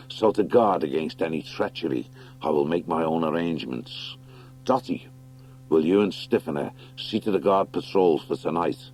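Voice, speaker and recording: Man voicing a deep, solemn badger warlord. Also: darkish tone. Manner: measured, solemn, commanding. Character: stern noble badger warlord, grave commander. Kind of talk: audiobook character acting.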